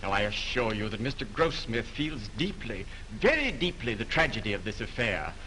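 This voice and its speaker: An actor in a 1930s film playing a pompous, wordy studio aide who smooths things over for his boss. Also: on the low side, big pitch swings, moderate pace, sharp tone, slight background noise, low-quality recording.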